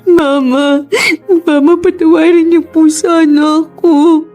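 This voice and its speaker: A young Filipino daughter in a radio drama, weepy and apologetic yet stubborn. Her extremely high voice pleads politely with elders over soft background music. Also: flat delivery, takes it slowly. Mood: tearful, pleading. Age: young adult. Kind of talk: Tagalog radio drama acting. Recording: faint music bed behind voice.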